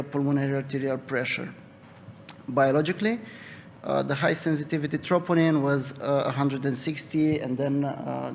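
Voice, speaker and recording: A young man from Romania with a Romanian accent, a cardiologist presenting a case at a medical conference in a halting, hesitant way. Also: fairly high pitch, creaky, darkish tone. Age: young adult. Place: conference hall. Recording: reverberant hall, noticeable background noise.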